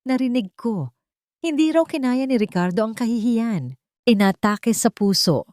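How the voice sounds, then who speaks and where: A woman's voice: a little high, lively intonation, a little slow, darkish tone, no echo, clean studio sound, voice pushed up. A woman voicing a grave, regretful old father recounting the past in an audio story.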